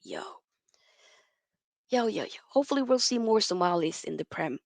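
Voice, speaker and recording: A woman in her twenties from Norway, hosting a live football chat stream and reading out viewer messages in a lively, chatty way full of slang and restarts. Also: mid-range voice, moderate pace, vocal fry, no echo. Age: 20s. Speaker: football channel host.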